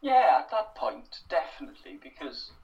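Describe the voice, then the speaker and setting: A man's voice: fairly high pitch, huge pitch swings, normal speed, a little mellow, distant mic, highs cut off. A young Welsh man answering interview questions in a thoughtful, self-deprecating way, with plenty of fillers and restarts. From Wales. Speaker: interview guest, writer. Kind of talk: casual interview.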